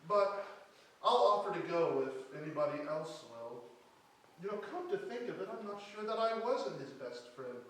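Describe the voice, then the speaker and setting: A man playing a jovial Victorian gentleman in live readers' theatre, with hearty, declamatory delivery. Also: a little high, big pitch swings, moderate pace. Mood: amused, breezy. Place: small theatre hall with audience, on stage. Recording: echoey hall from far off, noisy.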